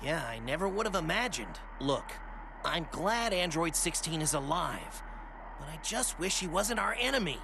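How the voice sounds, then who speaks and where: A man voicing an anxious, loyal everyman fighter in an anime video game, expressive and hesitant, over music. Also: a little high, normal speed, creaky, background noise. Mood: earnest. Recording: loud music behind the voice.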